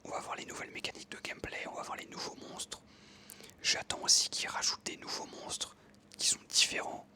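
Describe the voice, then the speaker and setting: An ASMR creator whispering casually into a close microphone over gameplay, rambling with frequent hesitations. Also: brisk. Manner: soft whisper, casual and rambling with many fillers.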